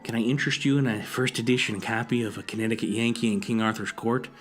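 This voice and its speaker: A man's voice: mid-range voice, steady tone, fast talker, natural voice. An actor playing a shifty, wheedling dealer in contraband collectibles in an audio drama, with evasive salesman patter. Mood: ingratiating, evasive.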